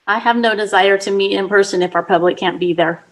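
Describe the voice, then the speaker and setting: A woman mayor with a soft voice that does not carry far. She runs the council meeting in a plain, direct way, with her pitch in an ordinary middle range. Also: fairly even intonation, fairly quick.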